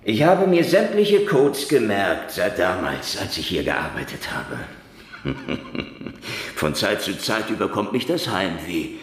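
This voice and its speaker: Very deep-voiced, sardonic hard-boiled detective. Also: male voice, very animated, takes it slowly, husky, full character voice. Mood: mocking. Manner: sardonic, wry, theatrical delivery. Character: cynical, hard-boiled Russian ex-detective, world-weary and mocking. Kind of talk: noir radio drama narration and dialogue.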